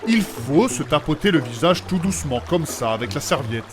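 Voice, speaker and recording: A man's voice: on the high side, animated, normal speed, over music, natural voice. A man playing a fussy, polite older man in a comic audio drama, speaking primly and formally as he coaxes. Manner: prim, formal, fussing and coaxing. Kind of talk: comic audio fiction acting.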